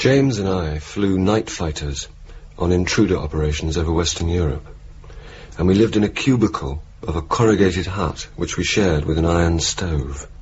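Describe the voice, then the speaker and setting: An actor playing a wry young RAF night-fighter officer with dry, sardonic banter, in naturalistic radio drama style. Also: male voice, sits low, moderate pace, darkish tone, husky. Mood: wry, matter-of-fact. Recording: muffled, noisy old radio broadcast.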